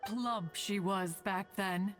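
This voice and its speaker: A woman's voice: mid-range voice, slow, natural voice. An actress playing an ancient, proud yet motherly female immortal in a video game, with lofty, formal, archaic phrasing. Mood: fond, teasing. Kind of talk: video game character acting. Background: background music.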